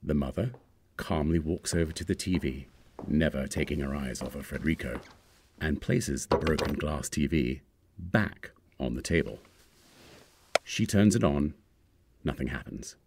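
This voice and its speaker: A man narrates a screenplay's action lines. His voice is exceptionally deep, and the delivery is scripted storytelling of each scene. A faint noise sits on the recording. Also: a little slow, pauses often.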